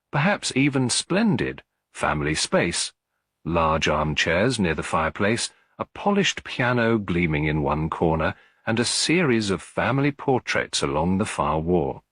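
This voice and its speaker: A man narrating a scripted mystery story in a measured, literary storytelling manner. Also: low voice, a bit bright, husky, no room sound, clean studio sound. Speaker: audiobook narrator.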